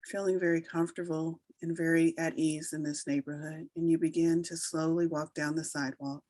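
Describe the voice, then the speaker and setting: Low-voiced woman guiding a meditation. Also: level tone, darkish tone, dry recording. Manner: soothing, unhurried instruction with long pauses. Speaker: meditation guide. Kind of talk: slow guided meditation narration.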